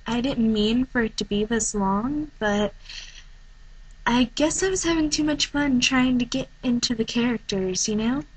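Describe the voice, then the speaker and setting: A woman's voice: a little high, a little slow, husky, rough recording. A woman talking casually as an amateur voice actor about her audition, recorded in a hotel room. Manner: apologetic.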